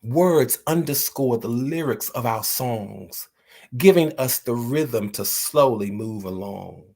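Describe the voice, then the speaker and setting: A man talking earnestly to his viewers about writing and then reading one of his own poems aloud in a slow, measured way. He encourages his listeners and often repeats words while he searches for the right ones. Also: medium pitch, animated, vocal fry, a little mellow. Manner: slow, measured recital when reading the poem. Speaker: poet and channel host.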